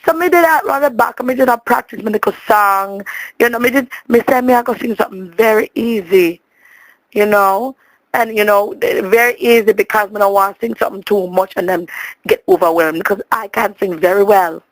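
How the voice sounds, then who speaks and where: A woman with a Jamaican accent speaking patois, telling a rambling comic story in a dramatic, expressive way and repeating words for emphasis. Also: medium pitch, fairly quick, dry recording, low-quality recording.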